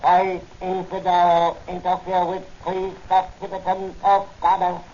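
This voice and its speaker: An actor playing a menacing high priest of a dragon cult in 1940s radio melodrama, chanting solemn pronouncements in broken English. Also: male voice, monotone, measured pace, darkish tone. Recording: dull old 1940s radio recording, faint noise.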